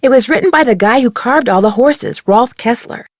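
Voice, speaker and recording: A young female amateur sleuth for a detective video game. Her voice sits on the high side and sounds very dry, free of room sound. She is courteous and conversational, yet persistent and inquisitive. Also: lively intonation, moderate pace, no echo. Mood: earnest. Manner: polite, inquisitive, clearly enunciated questioning. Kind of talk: video game dialogue, conversational question delivery.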